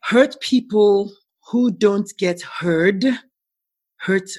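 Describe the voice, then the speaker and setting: A woman's voice: mid-range voice, very slow, darkish tone, no room sound. Woman, podcast host, encouraging, affirming, dry close sound. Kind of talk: casual interview.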